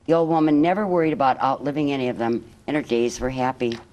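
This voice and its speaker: A woman reading a children's picture book aloud as a guest storyteller, in measured, expressive phrases. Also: very deep voice, low-quality recording.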